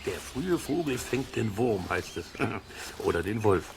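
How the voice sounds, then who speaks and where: A man's voice: on the low side, big pitch swings, unhurried, noisy background. An actor playing a folksy, curious man who muses and chats to listeners in a children's audio drama. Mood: quietly excited. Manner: musing, chuckling, colloquial, talks to listeners. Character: folksy, curious amateur investigator, chatty middle-aged man. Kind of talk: German children's audio drama, chatty explaining.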